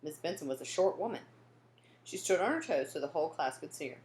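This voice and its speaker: A woman reading a children's book aloud in an expressive storytelling style. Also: deep voice, quick pace, reverberant. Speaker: storybook reader.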